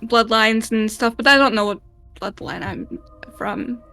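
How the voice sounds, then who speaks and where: A woman's voice: on the high side, unhurried, gravelly, pushed and bright, soft background music. A woman playing a tabletop game, rambling a little and tentative. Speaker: player. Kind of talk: in-character tabletop roleplay.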